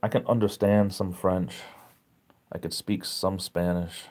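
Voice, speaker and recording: Tired, very low-voiced man chatting. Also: a little slow. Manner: relaxed, chatty, easily amazed, laughs often. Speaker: live stream host reading chat. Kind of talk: casual live chat.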